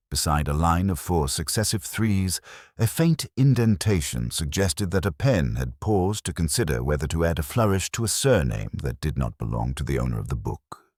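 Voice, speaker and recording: A man with a very low voice narrates a scripted story in a measured, literary way. His tone is husky and the pitch moves in lively swings, recorded close and dry. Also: dry recording.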